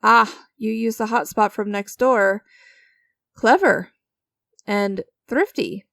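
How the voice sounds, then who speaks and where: A woman's voice: medium pitch, takes it slowly, creaky, fairly bright tone, dry recording, clean studio sound. A woman playing an enigmatic, creepy stranger who strikes sinister deals in a dramatic audio roleplay, teasing and theatrical with a smug, coaxing manner. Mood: smug, playful.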